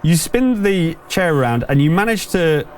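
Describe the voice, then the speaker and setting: A man running a tabletop game as game master, improvising the narration in a halting, conversational way. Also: a little high, sing-song, slight background noise. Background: background music.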